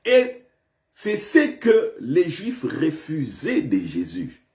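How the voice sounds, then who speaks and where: Slow, lively-pitched preaching man. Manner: preaching with sweeping pitch swings and repeated questions. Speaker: pastor. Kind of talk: sermon. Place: church.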